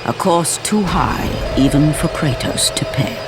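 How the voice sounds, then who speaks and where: A grave narrator telling a mythic tale in measured, solemn scripted narration for a video game cutscene. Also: mid-range voice, lively intonation, very noisy, natural voice. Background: loud orchestral score.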